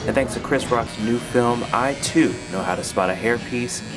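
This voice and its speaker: A man's voice: medium pitch, moderate pace, low-quality recording. Male narrator, scripted and wry, over a music bed.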